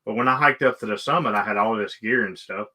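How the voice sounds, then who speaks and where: A man hosting a casual interview, speaking haltingly and often repeating his words as he goes. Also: lowish voice, pushed and bright. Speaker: live stream host interviewing a guest.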